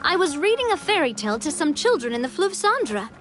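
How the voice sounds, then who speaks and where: Genial young game heroine: high, lively intonation, thoughtful, expressive. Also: female voice, brisk, faint music behind. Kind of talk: video game character acting.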